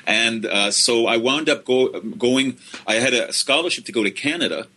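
A middle-aged man from Finland, a history professor answering interview questions in a halting way, with many restarts and self-corrections. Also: mid-range voice, sharp tone.

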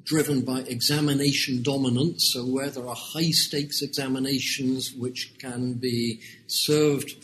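A British man speaking in a calm, deliberate lecturing manner, drawing out key words as he explains. He answers interview questions like a seasoned academic. A faint hiss of background noise sits under his voice. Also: mid-range voice, rough recording. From United Kingdom. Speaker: education researcher guest.

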